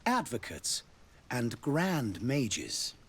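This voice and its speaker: Theocratic ambassador, fantasy game. Courtly and ornate, husky voice, very varied intonation. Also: male voice, medium pitch, wildly swooping pitch, drawn-out words, a bit bright, exaggerated voice. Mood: smooth, assured. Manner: formal, florid, diplomatic. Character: courtly, devout male ambassador of a theocratic nation. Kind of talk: scripted fantasy video game dialogue.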